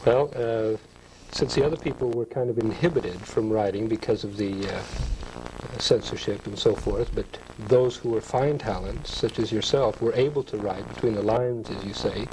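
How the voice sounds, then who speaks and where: A man's voice: on the low side, normal speed. A man working as an interviewer, putting considered questions to a guest. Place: recorded interview at a university. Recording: noisy old 1970s videotape recording.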